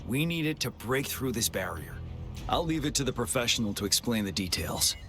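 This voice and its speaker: A man playing a composed, resolute army general in a game cutscene, giving measured, authoritative military briefings. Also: medium pitch, very animated, a bit bright, soft background music. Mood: commanding. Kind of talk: scripted video game cutscene acting.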